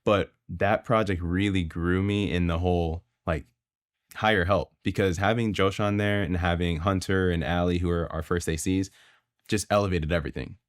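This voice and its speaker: A young man from Florida, a guest videographer telling his story in a casual podcast chat, relaxed and filler-heavy. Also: deep voice, moderate pace, no echo, clean studio sound.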